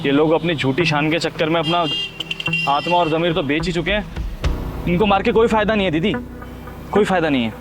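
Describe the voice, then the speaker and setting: A man's voice: a little high, a little fast, long pauses, music in the background, lightly acted. A man playing a poor, long-suffering vegetable seller who speaks politely but pointedly and moralizes in a short drama. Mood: resigned, moralizing. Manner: polite address, sarcastic, moralizing.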